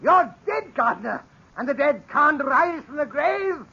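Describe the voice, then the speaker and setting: An actor playing a scheming captain and former stage actor turned murderer in a 1940s radio drama, blustering and cracking into frantic shouts. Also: male voice, big pitch swings, measured pace, vocal fry, exaggerated voice. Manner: blustering, grows frantic and shrill. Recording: dull old radio broadcast recording.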